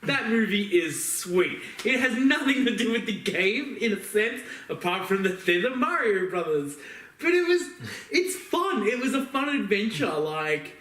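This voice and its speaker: A man's voice: high-pitched, fairly bright tone, distant mic. A man co-hosting a podcast, chatting casually in a rambling, emphatic, sweary way. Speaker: podcast co-host. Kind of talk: casual opinionated chat about films. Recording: roomy echo, off-mic.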